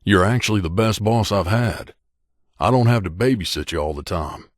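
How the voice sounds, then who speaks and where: A man playing a grizzled, guarded veteran mercenary in a video game, with a gruff, curt, world-weary manner. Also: fairly low pitch, lively intonation, normal speed, no echo, studio quality. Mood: questioning, subdued. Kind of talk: video game companion dialogue.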